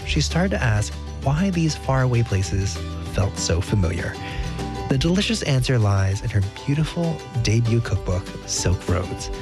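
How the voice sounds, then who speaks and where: A male host reads a scripted introduction in a smooth, measured manner. His pitch swings widely and stays lively, and a loud music bed plays underneath him. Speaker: podcast host.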